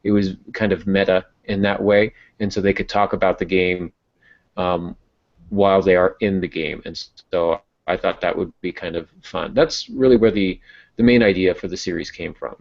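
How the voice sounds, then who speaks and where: A man's voice: deep voice, steady tone, normal speed, creaky. A man talking casually on a podcast as a host and novelist explaining his work. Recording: dull lo-fi voice recording.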